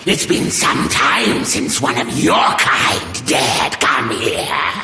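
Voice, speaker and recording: A man's voice: on the high side, creaky, pushed and bright, heavily acted. A man voicing a sadistic monster henchman in a video game, theatrical and mocking, with a drawling mock politeness. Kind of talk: scripted video game villain dialogue. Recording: strong roomy echo.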